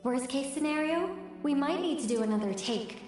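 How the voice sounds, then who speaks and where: An actress playing a calm, polite but direct sorceress in a video game, her voice carried by an echoing magic effect. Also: sits high, normal speed. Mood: calm, instructive. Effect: magical echo over the voice. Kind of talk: scripted video game dialogue. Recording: long echo, music behind.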